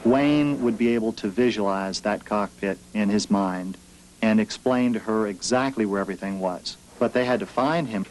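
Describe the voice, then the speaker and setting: Male controller, lowish voice, interview recollection, noticeable background noise. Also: moderate pace, a little mellow, low-quality recording. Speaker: air traffic controller.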